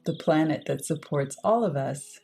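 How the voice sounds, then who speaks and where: A woman leading a guided meditation, speaking in unhurried, measured short phrases with pauses between them. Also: low voice, expressive, gravelly, mellow, breathy edge, soft background music. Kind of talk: guided meditation, slow soothing instruction.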